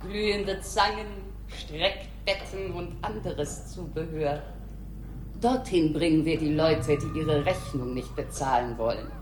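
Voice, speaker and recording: An actress playing a bossy, sinister castle innkeeper with a menacing manner in a radio play. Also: female voice, low-pitched, takes it slowly. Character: sinister female castle innkeeper, former jailer, gleefully menacing. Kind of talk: German radio play acting. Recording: echoey room with loud background noise.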